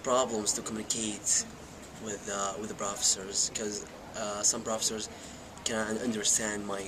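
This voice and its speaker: A man's voice: on the low side, flat delivery, normal speed. A man from Saudi Arabia with a Saudi Arabian accent, a student answering an interview hesitantly. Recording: clear background noise.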